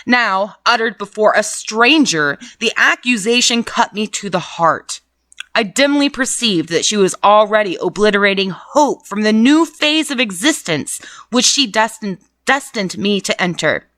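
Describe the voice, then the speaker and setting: A woman's voice: medium pitch, expressive, unhurried, a bit bright, no echo. A woman reading a classic novel aloud chapter by chapter as host, breaking off for blunt, profane and mocking comments on the story. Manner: blunt, swears freely, mocking. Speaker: reader and host.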